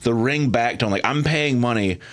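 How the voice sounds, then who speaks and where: A man's voice: medium pitch, normal speed. A man of about forty chatting casually on a podcast panel in a dry, wry way. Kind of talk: casual chat.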